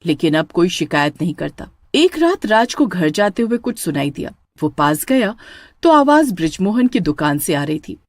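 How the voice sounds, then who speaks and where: Woman storyteller doing horror narration, very expressive pitch, close dry recording. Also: normal speed, dry recording.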